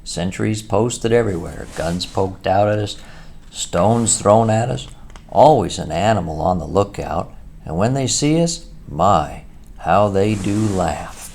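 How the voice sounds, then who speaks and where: A man's voice: low-pitched, animated, a little slow, creaky, a little mellow, a little hiss or hum, full character voice. A man voicing a hungry, grumpy male mole in a storybook reading. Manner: grumbling, talks with mouth full.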